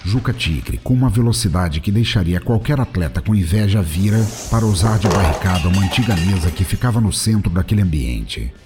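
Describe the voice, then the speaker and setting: A man's voice: low voice, expressive, fairly quick, few pauses, background noise. A man with a Brazilian accent delivering scripted narration for a comic action story. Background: music.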